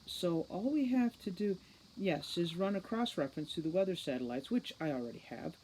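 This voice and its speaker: A woman's voice: fairly low pitch, expressive, fairly quick, a little mellow, slight background noise, natural voice, poor audio. A woman reading a storybook as a formal, condescending young boy mastermind who lectures impatiently. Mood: brisk, commanding. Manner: formal, lecturing, precise diction.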